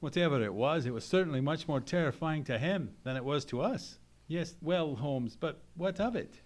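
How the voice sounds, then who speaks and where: An actor playing an earnest gentleman sidekick who tells a mystery in a formal, dramatic radio-play style. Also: fairly high pitch, expressive, moderate pace, natural voice. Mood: earnest, puzzled. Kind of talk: dramatic narration in old-time radio play.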